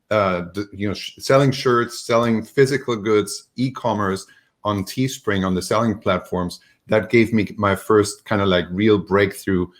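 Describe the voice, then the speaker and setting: Guy from germany on a video call, lowish voice, loose rambly interview talk w lots of ums. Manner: rambling, relaxed, many fillers and restarts. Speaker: guest. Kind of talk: casual remote interview.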